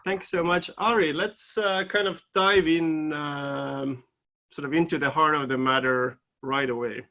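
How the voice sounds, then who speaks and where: A man's voice: fairly high pitch, fairly even intonation, a little slow, sharp tone. A man moderating a conference keynote, speaking in a friendly way with hesitant fillers. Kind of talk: panel moderation.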